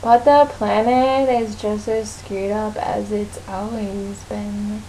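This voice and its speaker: A woman voicing a defiant, scornful teenage witch in a comic read-aloud, with drawn-out sarcasm, on a noisy amateur recording. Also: mid-range voice, flat delivery, dark tone, natural voice.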